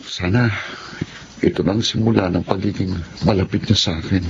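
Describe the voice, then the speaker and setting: A man's voice: low voice, steady tone, moderate pace. An actor playing a shy, brooding young man, a younger brother in a radio drama, speaking hesitantly. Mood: hopeful. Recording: muffled audio with clear background noise.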